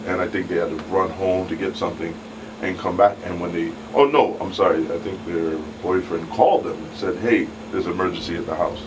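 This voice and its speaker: A man chatting casually on a panel, repeating words haltingly as he searches for his phrasing. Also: low voice. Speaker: talk show panelist. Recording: distant mic, clear background hum.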